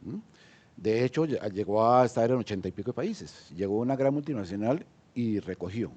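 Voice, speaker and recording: A Colombian man speaking on a conference panel in a low, mellow voice. He talks hesitantly, full of fillers and restarts as he explains his work. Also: lively intonation, moderate pace, highs cut off. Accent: Colombian Spanish. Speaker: entrepreneur panelist. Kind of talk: panel discussion.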